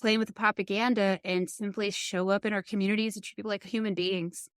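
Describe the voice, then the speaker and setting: A woman's voice: medium pitch, level tone, fast talker, smooth, dry recording, clean studio sound. A woman hosting a casual interview, speaking in a supportive, thoughtful and agreeable conversational way. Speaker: podcast host and interviewer.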